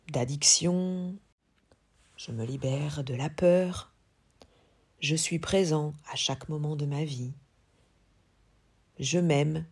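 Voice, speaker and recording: A woman yoga teacher leading a guided relaxation, speaking calmly and in a measured way with long pauses. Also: low voice, slightly rough. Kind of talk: guided yoga nidra meditation.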